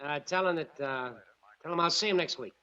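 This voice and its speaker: A man's voice: fairly high pitch, a little fast, bright, forceful tone, no room sound. An actor playing a hard, bullying gunman with an American accent in 1940s radio drama, barking curt orders. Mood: wary, dismissive. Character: hard, bullying male gunman holding captives. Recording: muffled, hissy old radio recording.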